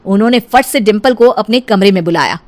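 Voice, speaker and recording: A woman's voice: medium pitch, brisk. A woman narrating a scripted comic cartoon story as its storyteller.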